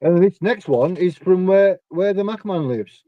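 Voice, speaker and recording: A man in his forties chatting casually on a video call and talking about albums in a relaxed way. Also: high voice, a little slow, no room sound, phone-quality sound. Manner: laid-back, talks through records. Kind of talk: casual chat. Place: home room with a dark curtain behind. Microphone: webcam video call.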